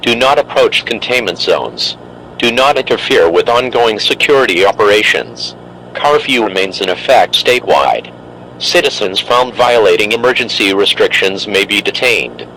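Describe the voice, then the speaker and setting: A man reads a formal scripted emergency broadcast as an official announcer, in a flat, repetitive manner. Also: fairly low pitch, moderate pace, slight background noise, rough recording.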